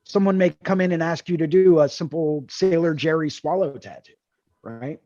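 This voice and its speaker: A man's voice: a little high, normal speed, warm tone, no echo, highs cut off. A man hosting a tattoo drawing group over a video call, explaining ideas earnestly and repeating key words. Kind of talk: casual mentoring chat on a video call.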